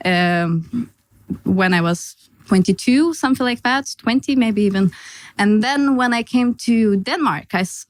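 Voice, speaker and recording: A woman's voice: medium pitch, dry recording. Lithuanian young woman telling her story. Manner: restarts and laughs while telling her story. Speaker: podcast guest. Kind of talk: casual chat.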